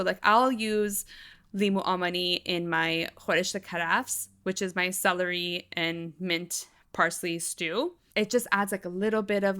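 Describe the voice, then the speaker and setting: A woman co-host talking casually on a podcast, her voice bright and clear. She chats loosely, leans on "like" as a filler, and laughs easily. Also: mid-range voice. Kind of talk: casual chat.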